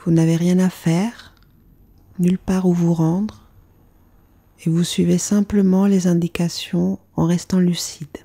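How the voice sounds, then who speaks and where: A woman guides a relaxation practice as a yoga instructor. Her voice sits a little low, with a dark, relaxed tone. She speaks very slowly and calmly, letting phrases fall away softly. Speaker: yoga nidra meditation instructor. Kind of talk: guided meditation narration.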